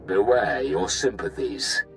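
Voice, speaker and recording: An actor playing a haughty, menacing Imperial Sith in a video game, formal and grandiose. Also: male voice, lowish voice, expressive, slow pace, creaky, soft background music, heavily acted. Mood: stern. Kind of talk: dramatic video game acting.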